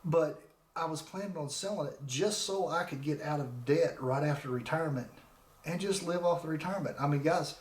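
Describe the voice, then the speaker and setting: A man's voice: a little high, fairly quick, reverberant. A man in his forties hosting his own podcast, talking casually and rambling with frequent restarts into a desk microphone. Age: 40s. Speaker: podcast host. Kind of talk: casual solo podcast talk. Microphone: desk microphone with laptop.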